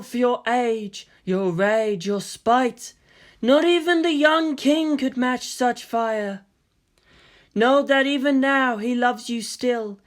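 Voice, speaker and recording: A man voicing a solemn otherworldly being in a story reading, speaking in a slow, drawn-out, incantatory way. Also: extremely high pitch, fairly bright tone, voice pushed up, full character voice. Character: solemn ominous otherworldly being pleading for rage.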